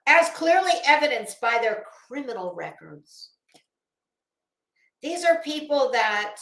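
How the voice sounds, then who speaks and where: A woman with a high voice delivers scornful, opinionated livestream commentary. She takes her time, leaning on words and repeating them for emphasis. The sound is roomy, with a noticeable echo. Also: a bit bright. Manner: blunt, scornful, emphatic, with deliberate repetition. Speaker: livestream host.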